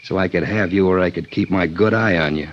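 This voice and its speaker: A man's voice: sits low, fairly quick, close to an everyday voice. An actor playing a jumpy, suspicious hard-boiled gunman, talking in threatening, slangy tough-guy speech in a 1950s radio crime drama. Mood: suspicious, sneering. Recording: dull, noisy old radio recording.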